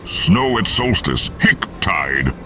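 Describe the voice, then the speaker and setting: A man voicing a huge, jolly, drunken alien reveler in a gruff, slurred voice for an audiobook. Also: sits low, very animated, slow pace, music in the background, heavily acted. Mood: boisterous, merry. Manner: slurred, hiccuping drunk delivery.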